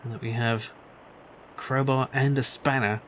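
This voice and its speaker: A man chats casually through a video game he is playing. His pitch is average, and he muses aloud with a wry touch. Clear background noise sits behind his voice. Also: flat delivery, measured pace, poor audio. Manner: musing, wry, thinking aloud. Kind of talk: casual let's play commentary.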